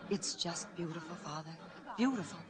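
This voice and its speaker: A woman acting a devoted, spirited, warm-hearted young woman in a 1940s radio drama. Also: on the low side, normal speed, crowd noise, lightly acted. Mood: glad, proud.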